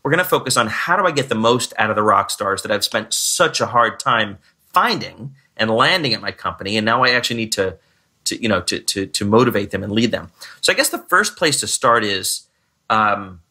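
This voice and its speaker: A man hosting a podcast on recruiting, energetic in his intro and loose and chatty when asking questions. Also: on the low side, brisk. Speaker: podcast host. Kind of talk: podcast interview.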